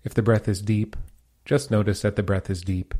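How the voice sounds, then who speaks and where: A man leading a guided meditation as a teacher, giving calm, soothing instructions. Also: on the low side, moderate pace.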